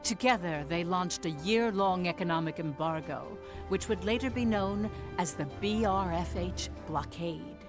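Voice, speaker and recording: An actress playing a grave narrator, formally recounting a nation's history in a game prologue. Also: female voice, on the low side, unhurried, few pauses, natural voice. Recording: game audio under music.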